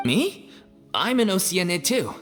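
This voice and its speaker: A man's voice: high voice, sing-song, measured pace, fairly bright tone, soft background music, exaggerated voice. A man playing an easygoing, hearty young male troupe actor in a video game, with a laid-back manner. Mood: jovial, casual.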